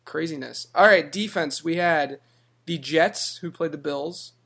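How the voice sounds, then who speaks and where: A man co-hosting a casual sports podcast, his voice raspy and hoarse from yelling, talking upbeat with loud openings. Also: on the high side, a little slow. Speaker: podcast co-host.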